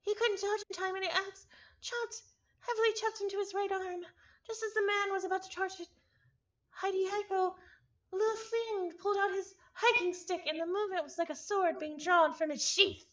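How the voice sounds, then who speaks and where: A woman's voice: very high-pitched, fairly even intonation, normal speed, a bit bright, dull audio. A woman reading a story aloud live with casual asides, often stumbling over and repeating words. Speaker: visual novel reader, streamer.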